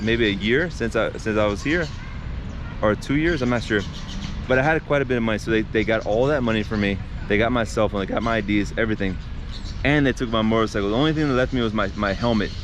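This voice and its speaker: A man at a middle pitch talks straight to camera outdoors while traffic rumbles clearly behind him. He tells the story casually, restarting sentences, using fillers and calling his viewers guys. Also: fast. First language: not Spanish. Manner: conversational retelling with many restarts and fillers, addressing viewers as guys. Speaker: vlogger. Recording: outdoor street traffic noise.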